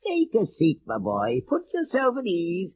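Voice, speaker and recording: An actor playing a sly, mocking and menacing old French lord in a theatrical, taunting old radio drama style. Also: male voice, very high voice, wildly swooping pitch, a little slow, heavily acted. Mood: gloating welcome. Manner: theatrical, chuckling, taunting, swooping delivery. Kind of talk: 1940s-50s radio drama acting. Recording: tinny, noisy old radio recording.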